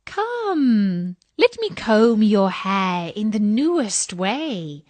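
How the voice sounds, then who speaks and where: Woman acting a wheedling old peddler woman, sly, falsely friendly, sing-song, unhurried, fairy-tale style. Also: medium pitch, very animated, darkish tone, dry recording. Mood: coaxing.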